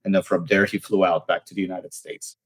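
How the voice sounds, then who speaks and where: A man's voice: deep voice, little pitch movement, a little fast, breathy edge, no room sound. A man who works as an immigration attorney, telling anecdotes as a podcast guest with frequent "uh" fillers. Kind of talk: casual podcast interview.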